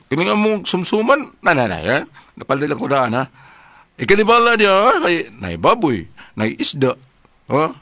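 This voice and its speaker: A jovial husband in a radio comedy drama, pitched fairly high and very animated. He coaxes and wheedles, tacking little questions onto what he says. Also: normal speed, no room sound, full character voice. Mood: wheedling.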